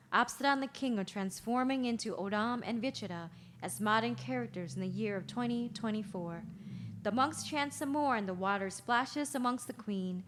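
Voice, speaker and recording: A woman reading stage directions as narrator at a play's table read, in scripted narration. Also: a little high.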